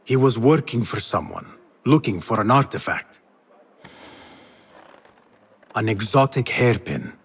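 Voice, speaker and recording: An actor playing a guarded, determined young former thief, speaking in a terse, serious way in a video game. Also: male voice, lowish voice, animated, normal speed, creaky, breathy edge, heavily acted. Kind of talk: video game cutscene acting. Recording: music behind the voice.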